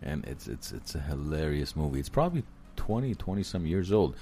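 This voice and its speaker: A man's voice: extremely low pitch, very animated, fairly quick, mellow, breathy edge, faint music behind. A man co-hosting a casual podcast chat in a joking, teasing way. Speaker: podcast co-host.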